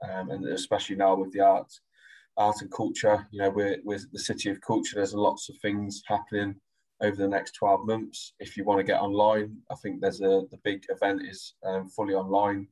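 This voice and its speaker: A man's voice: lowish voice, monotone, moderate pace, dark tone, low-quality recording. A man in his thirties with an English Midlands accent, explaining informally and hesitantly on a video call as a university representative. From Coventry, England. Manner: hesitant, repeats words. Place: indoor room, video call.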